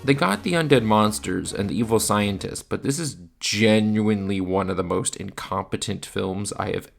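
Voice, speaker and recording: A man's voice: lowish voice, normal speed, vocal fry, over music. A man narrating a scripted video review with casual asides, in a blunt, exasperated manner.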